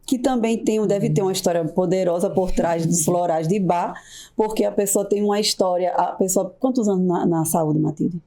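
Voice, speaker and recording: Mellow-voiced woman host. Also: fairly low pitch, moderate pace. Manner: supportive, chimes in and finishes guests' sentences. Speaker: podcast host. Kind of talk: casual interview chat.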